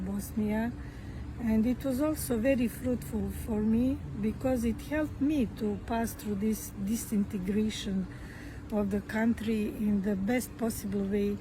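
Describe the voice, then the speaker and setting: A Serbian-accented woman speaking English as a second language. She talks slowly and haltingly, pausing often on fillers. The recording is noisy, with a clear hum of passing traffic behind her. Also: medium pitch, steady tone. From Croatia. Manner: slow and halting, many fillers and restarts. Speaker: guest author and activist. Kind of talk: podcast interview.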